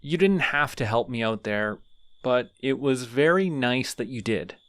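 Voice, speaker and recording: A man's voice: mid-range voice, expressive, unhurried, fairly bright tone, clear, resonant tone, no echo. A man voicing a friendly, chatty male skeleton who rambles sheepishly in an audio roleplay. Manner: rambling, sheepish, talks to himself.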